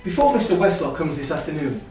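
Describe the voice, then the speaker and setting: Guy playing a kind earnest victorian gent, highish voice, super echoey with music behind. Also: sing-song, fast, darkish tone, distant mic. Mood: earnest, hopeful. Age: 30s. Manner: formal, wordy, earnest phrasing. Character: kindly, teasing, hopeful brother in his thirties, Victorian gentleman. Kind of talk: stage-style literary radio drama acting.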